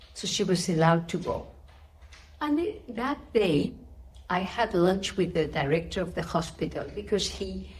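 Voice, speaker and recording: Lower-pitched mature woman with Spanish accent. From Spain. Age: middle-aged or older. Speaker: interviewee, spiritual teacher. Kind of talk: interview answers with personal storytelling.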